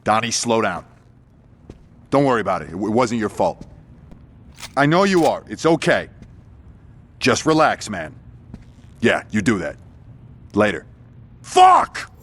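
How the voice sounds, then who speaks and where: A young gang lieutenant in a game, blunt, kinda low voice that jumps around a lot. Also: male voice, huge pitch swings, unhurried, long pauses, a bit bright, exaggerated voice. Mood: reassuring, then angry, defensive. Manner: blunt, swearing, street casual. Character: brash, loyal young male gang lieutenant. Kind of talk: video game cutscene acting.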